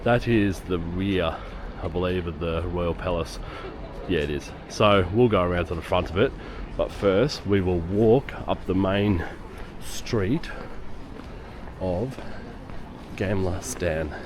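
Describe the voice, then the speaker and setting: Low-voiced hoarse man on a city walk. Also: slow, pauses often. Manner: chatty, rambling, with fillers. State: hoarse from a lingering cough. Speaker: travel vlogger. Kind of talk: walking tour commentary. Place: busy city streets outdoors. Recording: outdoor street noise. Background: city street noise and traffic.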